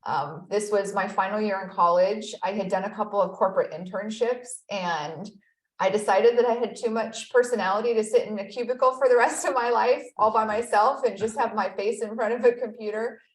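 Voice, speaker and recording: A woman in her thirties speaks as an interview guest, earnest and reflective, often slipping in "you know." Her voice sits at a middle pitch and carries a noticeable room echo. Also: brisk. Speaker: guest, accountability expert. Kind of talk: conversational interview.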